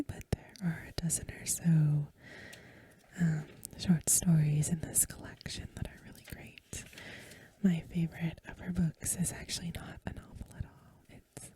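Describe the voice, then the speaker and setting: Female ASMR reader, very breathy and hushed, mellow dark tone, gentle and unhurried delivery. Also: fairly low pitch. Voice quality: breathy, mostly whispered. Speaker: host of an ASMR channel, academic reader. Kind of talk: soft-spoken ASMR talk and story reading.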